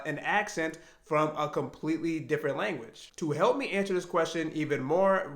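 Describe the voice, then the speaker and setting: A man's voice: fairly high pitch, a bit bright. Earnest American man in an echoey room. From United States. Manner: earnest, thinking aloud. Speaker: language learning vlogger. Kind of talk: reflective personal vlog.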